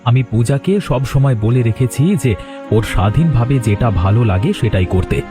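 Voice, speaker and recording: A man plays a young husband and elder brother in a cartoon. He is supportive and a little teasing in a medium-pitched voice, with music clearly audible underneath. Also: a little fast, lightly acted. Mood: supportive, calm. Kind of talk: cartoon voice acting.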